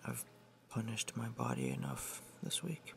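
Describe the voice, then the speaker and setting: A man's voice: lowish voice, wildly swooping pitch, unhurried. A young man speaking in an affectionate, intimate ASMR whisper, hesitant and casual, like pillow talk. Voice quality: breathy whisper. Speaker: ASMR boyfriend roleplayer. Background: television film dialogue.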